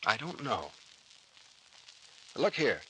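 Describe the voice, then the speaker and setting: An American actor playing a shrewd, commanding but breezy private detective captain in an old-time radio serial. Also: male voice, mid-range voice, very animated, slow, fairly bright tone, slight background noise, poor audio. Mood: cagey.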